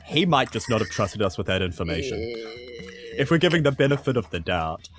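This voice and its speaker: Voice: mid-range voice, big pitch swings, brisk, over music. A player, chatting casually and jokily during a tabletop role-playing game. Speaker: player in a tabletop RPG podcast. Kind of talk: casual chat.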